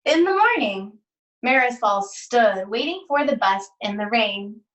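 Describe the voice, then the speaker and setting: Bright-voiced woman reading a storybook slowly. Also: fairly high pitch, recorded from a distance, rough recording. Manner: deliberate, expressive phrasing with pauses. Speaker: art teacher reading aloud. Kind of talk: slow storybook read-aloud for children.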